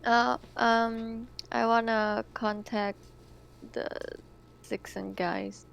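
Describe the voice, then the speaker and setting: A woman's voice: on the high side, fairly even intonation, drawn-out words, gravelly, clean tone. A woman chatting casually as a player in a tabletop game, hesitant and searching for words. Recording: faint background noise.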